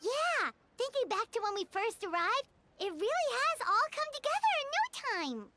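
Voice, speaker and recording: A woman voicing a tiny, chirpy, candid female companion sprite in a video game, with a squeaky childlike voice. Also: very animated, moderate pace, over loud music. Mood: pleased. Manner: chirpy, speaks of herself in third person.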